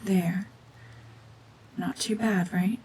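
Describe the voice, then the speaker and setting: A woman acting a cold, domineering mutant witch in an ASMR roleplay drama, hushed and often whispering, with terse, guarded commands. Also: mid-range voice, fairly even intonation, very slow, breathy edge, full character voice, low-quality recording. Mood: reassuring. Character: renegade mutant cyborg gorgon witch, female, cold, domineering, slowly softening.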